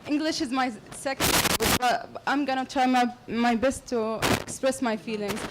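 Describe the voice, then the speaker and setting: A woman with a Palestinian Arabic accent, speaking English as her second language, making a halting, earnest comment from the floor of a public Q&A. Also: sits high, steady tone, heavy background noise. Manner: halting and earnest, many restarts. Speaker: audience member. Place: lecture hall.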